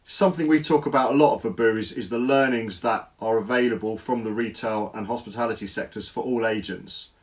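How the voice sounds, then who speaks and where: A man's voice: on the low side, a little fast, few pauses. A man hosting a company interview series, introducing it and chatting with a guest in a loose, friendly way full of ums. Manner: friendly, loose, lots of ums and trailing phrases. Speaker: host from the company. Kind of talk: interview host, casual business chat.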